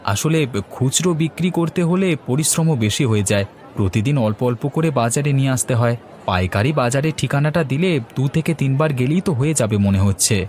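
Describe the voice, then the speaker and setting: A man's voice: medium pitch, lively intonation, fairly quick, a little mellow, slight background noise, close to an everyday voice. A man voicing a hardworking village fan seller and family man in a cartoon, earnest and polite. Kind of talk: cartoon voice acting. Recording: music playing behind the voice.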